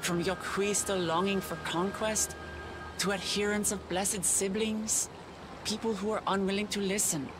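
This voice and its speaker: A woman's voice: mid-range voice, close to an everyday voice. Earnest young female ruler, played by an actress, music behind. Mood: determined. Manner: measured, earnest, formal. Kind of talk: dramatic video game cutscene acting.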